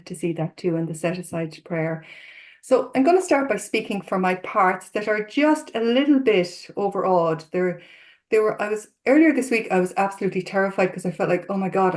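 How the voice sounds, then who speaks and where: Earnest Irish woman addressing a recovery group. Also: on the low side. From Ireland. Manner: earnest, open and self-disclosing. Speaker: therapist and guest speaker. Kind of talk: talk to an online recovery group. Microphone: video call.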